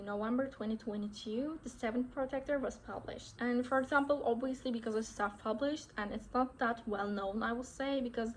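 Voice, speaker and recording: A woman's voice: fairly high pitch, slight background noise, poor audio. A young woman from Turkey with a Turkish accent, talking casually to camera in a vlog and often correcting herself with "I would say". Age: young adult. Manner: chatty, self-correcting, frequent "I would say". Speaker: author vlogger.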